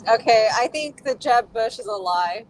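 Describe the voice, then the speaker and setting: A woman's voice: fairly high pitch, animated, moderate pace, a little hiss or hum. A woman chatting casually as she plays a party game with friends. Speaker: panel game player. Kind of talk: casual chat.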